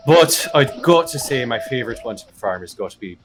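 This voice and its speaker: A man's voice: mid-range voice, expressive, a little fast, background noise. A man chatting and joking as a dialect coach guest. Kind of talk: casual chat on a livestream.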